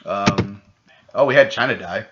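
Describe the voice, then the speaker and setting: Male podcast co-host, low and forceful, excitable and profane, lo-fi audio. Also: unhurried. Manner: rambling, swears a lot, excitable. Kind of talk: casual chat.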